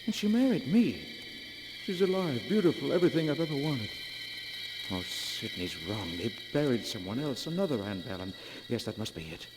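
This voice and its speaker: An actor playing a lovestruck, easily shaken husband in a dramatic, emphatic 1940s radio acting style. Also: male voice, mid-range voice, animated, moderate pace, close to an everyday voice. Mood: shocked, frantic. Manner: dramatic, emphatic, repeats himself in disbelief. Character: lovestruck husband, growing shaken and stubbornly disbelieving. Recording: noisy vintage 1940s radio recording, organ behind.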